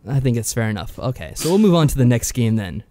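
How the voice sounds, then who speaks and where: A man's voice: medium pitch, big pitch swings, fast talker, dark tone. A man co-hosting a podcast, casually summarising and reviewing games in a dry, understated way. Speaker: podcast co-host.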